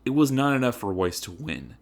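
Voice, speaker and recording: A man's voice: medium pitch, big pitch swings, brisk, vocal fry. A man narrating a comedic dramatic reading in a theatrical, emphatic, mock-serious manner.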